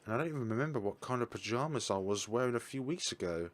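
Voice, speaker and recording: A man's voice: mid-range voice, lively intonation, quick pace, lightly acted. A man voicing a young woman's AI copy. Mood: embarrassed.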